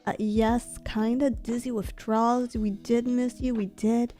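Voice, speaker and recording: A sick woman streamer speaks softly right into a close mic, her husky voice congested and mellow. She chats casually and reads out donations with a quiet, worn-down delivery. Faint music plays under her. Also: fairly high pitch, little pitch movement, measured pace. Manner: soft-spoken, mic held close. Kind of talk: casual chat reading donations. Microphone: close desk mic.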